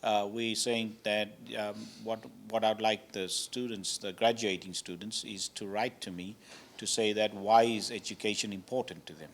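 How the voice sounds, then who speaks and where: A man's voice: fairly low pitch, steady tone, moderate pace. A man born in Zambia, a hotel owner, answering interview questions politely with many hesitations.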